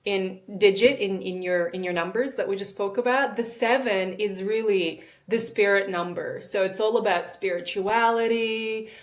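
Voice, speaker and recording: A woman's voice: medium pitch, normal speed, hardly any pauses. A woman in her thirties hosting a podcast interview, chatty and laughing often, cutting in on her guest. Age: 30s. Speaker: podcast host. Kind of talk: casual interview chat.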